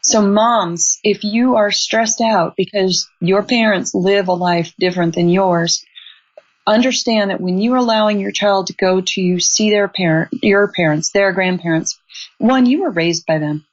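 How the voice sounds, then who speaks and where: Earnest grandmother giving measured advice. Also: mid-range voice. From Montana. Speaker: guest, author. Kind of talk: advice in an interview. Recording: slightly dull lo-fi audio.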